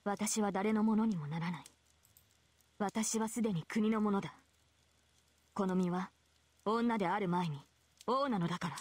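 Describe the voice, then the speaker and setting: Proud female knight ruler, played by an actress, breathy mid-range voice, stern and unyielding, visual novel drama. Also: medium pitch, fast, pauses often, a little mellow, no room sound, close to an everyday voice. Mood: resolute. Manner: stern, resolute, formal. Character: proud, defiant young female knight king. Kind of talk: Japanese visual novel voice acting.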